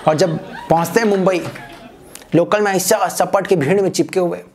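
A young man doing a stand-up comedy set on a small stage, telling an animated story and acting out other people's voices. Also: high voice, normal speed. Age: young adult. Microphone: handheld mic. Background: audience laughter.

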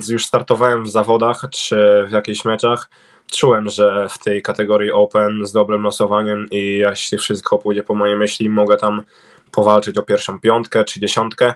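A young man answering interview questions over a video call from a room at home, hesitant with many fillers and restarts. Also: lowish voice. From Poland. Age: young adult. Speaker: interviewed speedway cycling rider. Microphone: video call webcam.

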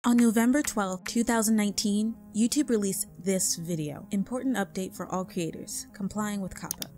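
A woman's voice: medium pitch, moderate pace. Woman narrating a scripted video essay. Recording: background music under voice. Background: music.

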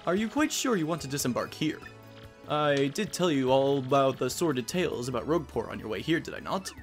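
A man's voice: medium pitch, lively intonation, fairly quick, faint music behind, close to an everyday voice. Man as courteous, deferential ship's sailor. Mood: deferential, cautious. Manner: formal, deferential, hesitant. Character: courteous, apologetic sailor warning his passenger.

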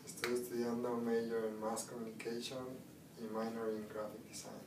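A young man from Mexico giving relaxed, plain interview answers in a small indoor room. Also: mid-range voice, moderate pace. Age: young adult. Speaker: student interviewee. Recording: distant echoey room, clear noise.

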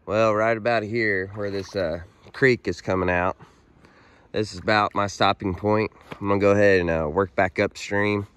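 A man in his thirties with a hoarse, froggy voice from a head cold, chatting casually as he fishes from a kayak on a creek, filmed by a pole-mounted camera. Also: lowish voice, normal speed. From Arkansas. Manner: chatty and upbeat, excited while reeling in fish. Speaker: fishing vlogger. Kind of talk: casual outdoor vlog. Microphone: action camera on a pole mount.

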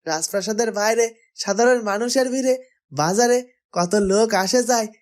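A woman's voice: fairly high pitch, normal speed, a little mellow, lightly acted. A woman voicing a loyal, earnest young male street-food seller in a cartoon. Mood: earnest, determined. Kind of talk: cartoon voice acting.

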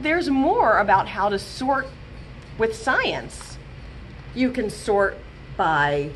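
A woman in her thirties leads a children's storytime, her higher voice rising and falling in a lively sing-song. She speaks slowly and gently, hushing for soft moments. Recorded on a close webcam with a faint hiss behind her. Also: low-quality recording. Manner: gentle, sing-song and expressive, slowing to a hush for lullabies. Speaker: children's librarian. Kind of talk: storytime reading and singing for young children. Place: small room with bookshelves, indoors. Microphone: webcam at close range.

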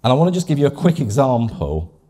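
A man in his fifties giving a lively, chatty marketing talk on a handheld mic to a small audience, often repeating words mid-sentence. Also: medium pitch, fast talker, slightly rough. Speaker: conference speaker. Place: dim event venue with small audience.

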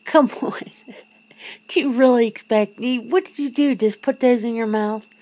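High-voiced, slow-talking disbelieving girlfriend, acted by a woman. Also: mellow, natural voice. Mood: incredulous, teasing. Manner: halting, breathy sighs and chuckles, often dropping to a whisper. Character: young woman, girlfriend of a vampire, nervous, disbelieving, teasing. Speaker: ASMR roleplay performer. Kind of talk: ASMR roleplay, one side of an intimate conversation.

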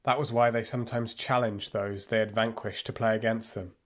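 An actor playing a fervent, bitter football supporter recalling the Nazi era in an audio play monologue, with deliberate pauses. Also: male voice, fairly low pitch, flat delivery, close to an everyday voice. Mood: grave, bitter. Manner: impassioned storytelling with deliberate pauses. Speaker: narrator. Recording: music behind the voice.

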